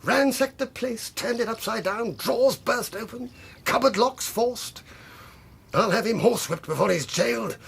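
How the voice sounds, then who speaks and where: Raspy, high-pitched blustering old English squire. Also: male voice, fairly bright tone, full character voice. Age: older. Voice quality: raspy, gravelly. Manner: gruff, indignant, old-fashioned bluster. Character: blustering, irascible older country squire. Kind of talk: radio drama acting.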